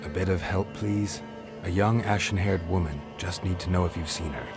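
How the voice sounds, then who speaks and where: A man's voice: low voice, moderate pace, over music. A man playing a weary, steadfast monster hunter in a fantasy video game, speaking in a dry, clipped, understated way. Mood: patient, probing. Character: terse, weary, steadfast monster hunter searching for his daughter. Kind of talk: video game character acting.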